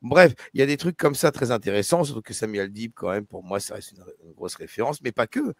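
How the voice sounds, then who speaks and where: A man's voice: medium pitch, expressive, fast, no room sound, studio quality. A man hosting a casual live discussion, talking with many hesitations and restarts. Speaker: YouTube live host.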